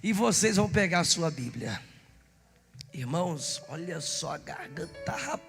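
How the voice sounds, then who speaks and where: A hoarse man, a pastor, leading a church service, urging the congregation and calling out to them. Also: a little high, expressive, normal speed, long reverb tail. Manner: exhorting the congregation, calling out to the crowd. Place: church hall. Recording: live church service with music.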